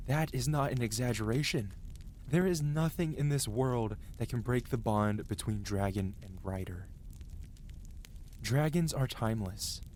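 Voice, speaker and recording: A man's voice: mid-range voice, darkish tone, slight background noise, lightly acted. A man voicing an ancient male dragon, proud and scolding yet devoted, with solemn, dramatic phrasing in a fantasy ASMR roleplay. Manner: solemn, archaic, dramatic phrasing with flustered outbursts.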